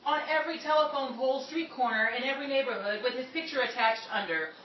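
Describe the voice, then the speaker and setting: A woman's voice: high-pitched, fast, close to an everyday voice. A woman playing an exasperated, sarcastic aspiring Hollywood dancer of the 1930s in an old-time radio comedy style. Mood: sardonic. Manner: sarcastic, punchline-driven complaining. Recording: roomy, distant, dull, noisy amateur recording.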